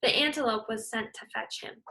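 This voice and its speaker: Bright-voiced woman teacher reading aloud. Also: a little high, moderate pace, low-quality recording. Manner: encouraging, explaining to young students. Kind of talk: children's read-aloud and lesson.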